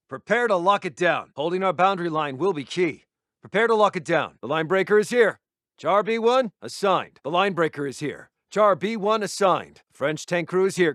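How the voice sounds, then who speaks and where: High-pitched, resolute military officer. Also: male voice, animated, normal speed, a bit bright, no room sound, studio quality. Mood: formal, businesslike. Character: formal, resolute military intelligence officer briefing his commander. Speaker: military intelligence announcer in a strategy game. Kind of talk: scripted game unit announcements.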